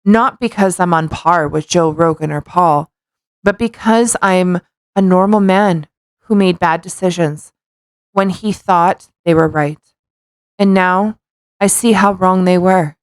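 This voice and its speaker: A woman with a fairly low voice, recorded dry and without room sound. She speaks as a podcast co-host, moving between casual chat and reading written text out loud. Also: unhurried, clean tone, no echo.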